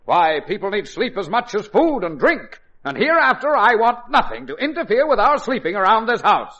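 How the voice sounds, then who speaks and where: A man's voice: sits high, very animated, normal speed, sharp tone, exaggerated voice. An actor playing an exasperated middle-aged father who sputters and makes pompous, emphatic pronouncements in an old radio comedy. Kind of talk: old-time radio situation comedy acting. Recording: muffled vintage radio broadcast.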